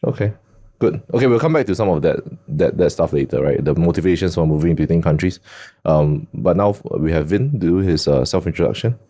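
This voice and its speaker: A middle-aged man hosts a podcast, teasing his guests and chuckling as he goes. His voice is low and dark. He stretches out his ums and uhs between points. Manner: chuckling, teasing, drawn-out fillers. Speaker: podcast host. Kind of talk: casual podcast hosting.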